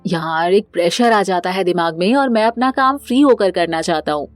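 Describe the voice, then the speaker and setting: A woman's voice: lowish voice, normal speed, no room sound, faint music behind, lightly acted. A woman voicing an evasive young office man, a placating, defensive boyfriend in a cartoon family drama. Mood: casual, evasive.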